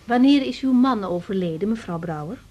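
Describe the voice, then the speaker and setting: An actress playing a reasonable young family doctor in a radio drama, patient and measured with some hesitation. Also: female voice, medium pitch, expressive, a little mellow, lo-fi sound, close to an everyday voice. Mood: calm, probing. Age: young adult. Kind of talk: 1980s Dutch radio drama acting.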